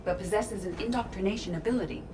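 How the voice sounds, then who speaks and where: A woman's voice: medium pitch, fast talker, noisy background, lightly acted. A woman playing a composed, analytical artificial intelligence in a video game, precise and detached. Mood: analytical, calm. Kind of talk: dramatic video game acting. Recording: music and game sound behind. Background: game music.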